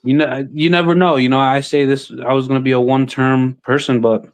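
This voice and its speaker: A man in casual conversation, his voice mid-pitched and ordinary. He talks haltingly, stacking fillers and restarting sentences as he searches for words. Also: level tone, clear, resonant tone. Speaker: city council member. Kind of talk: casual chat.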